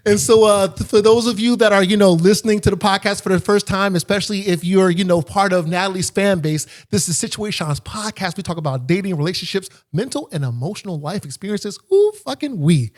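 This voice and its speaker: A man's voice: high-pitched, a little fast, few pauses. A man hosting a podcast in casual chat, upbeat, laughing often and swearing freely. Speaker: podcast host. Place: Los Angeles.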